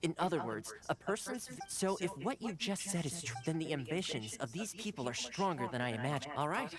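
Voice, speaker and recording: A man's voice: on the high side, sing-song, brisk, over a noisy crowd. A man voicing a playful, courteous young retainer in a video game, articulate and politely teasing. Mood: thoughtful. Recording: game music behind.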